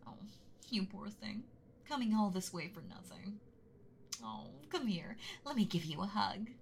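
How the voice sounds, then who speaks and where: A woman voicing a gentle, motherly giant female dragon in a whispered roleplay, speaking in a soft, breathy, doting way. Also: mid-range voice, lively intonation, unhurried, a little hiss or hum. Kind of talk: whispered roleplay audio, ASMR.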